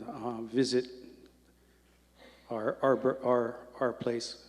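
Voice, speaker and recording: A man from Hawaii giving earnest, halting testimony at a public meeting, often repeating words. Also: mid-range voice, darkish tone. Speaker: public commenter. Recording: public hall with long reverb.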